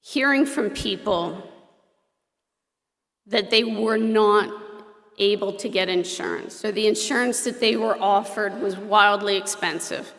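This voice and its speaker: A woman's voice: medium pitch, unhurried, vocal fry. Emphatic woman presenting in a reverberant hall. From Ontario, Canada. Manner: lively, emphatic, with humor. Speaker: association director presenting an insurance program. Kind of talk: public presentation at a meeting. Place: meeting hall at an airport.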